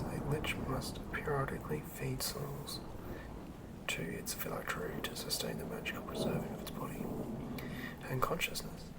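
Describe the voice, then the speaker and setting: Male ASMR narrator, whispered and creaky, hushed measured reading of fantasy lore. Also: medium pitch, poor audio. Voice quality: whispered, breathy and gravelly. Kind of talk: ASMR whispered read-through of a fantasy monster manual.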